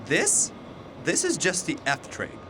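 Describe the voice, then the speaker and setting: A man's voice: a little high, wildly swooping pitch, slow pace, background noise, heavily acted. A man playing a bewildered, skeptical young university student in an audio drama, questioning and incredulous. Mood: puzzled, wary.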